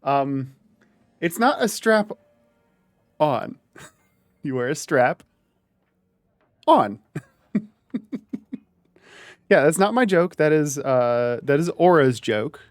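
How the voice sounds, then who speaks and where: A man's voice: a little high, big pitch swings, slow pace, long pauses, no room sound, clean studio sound. A man streaming a game, chatting casually with friends, joking and laughing often. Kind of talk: casual gaming chat.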